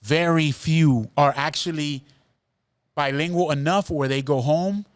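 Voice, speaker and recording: A man's voice: on the high side, a little slow, clean tone, no room sound, clean studio sound. A man hosting a casual podcast chat, speaking earnestly and often repeating words as he starts a thought. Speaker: podcast host. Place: Miami.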